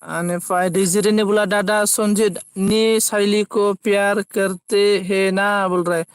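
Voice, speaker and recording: High-pitched man, halting livestream chatter. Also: flat delivery, smooth, no echo, clean studio sound. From Assam, India. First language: Bodo. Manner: halting, repeats phrases as he reads. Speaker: live streamer. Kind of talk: casual live chat, reading out comments.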